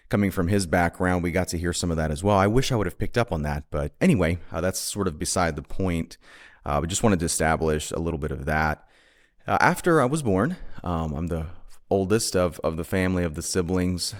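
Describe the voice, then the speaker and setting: Man, thirties, very deep voice, podcast host, unscripted, earnest, repeats words and restarts. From Honduras. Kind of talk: solo podcast monologue.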